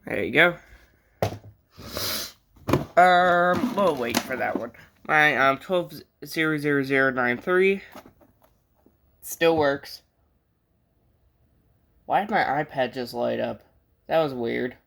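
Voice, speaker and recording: An American hobbyist vlogger with a mid-pitched voice talks casually and off the cuff. The delivery rambles and drifts between side remarks, with a joking streak. It sounds like an unscripted home video made in a bedroom. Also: slow pace, creaky, pauses often, a bit bright. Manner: rambling, jokey, easily sidetracked. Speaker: hobbyist vlogger showing a radio collection. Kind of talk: casual unscripted vlog chat. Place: indoors in a bedroom.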